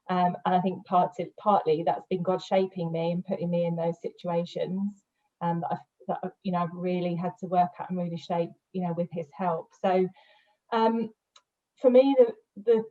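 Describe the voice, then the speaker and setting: Woman on a panel talking earnestly, warm mellow voice, kinda dull lo-fi audio. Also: mid-range voice. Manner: earnest, reflective, with light laughs. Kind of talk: panel discussion answer.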